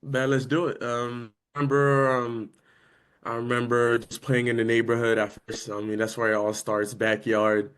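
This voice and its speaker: A man's voice: mid-range voice, little pitch movement, normal speed, a bit bright, smooth. A young man from France, a native French speaker, talking casually as a guest about his football career, with lots of "I mean" fillers. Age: young adult. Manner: easygoing, many "I mean" fillers. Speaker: guest, college linebacker. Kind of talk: casual interview.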